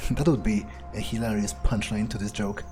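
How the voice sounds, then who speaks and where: A man with a husky voice improvising in-character commentary on a game, casual and sweary as he thinks aloud. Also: lowish voice, steady tone, moderate pace, music in the background. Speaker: gamer playing in character.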